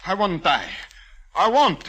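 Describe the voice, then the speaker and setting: A man's voice: high voice, big pitch swings, slow, heavy background noise, exaggerated voice. An actor playing a devoted, obsequious servant henchman in a theatrical 1940s radio style. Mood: terrified pleading. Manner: servile, theatrical. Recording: vintage radio drama recording.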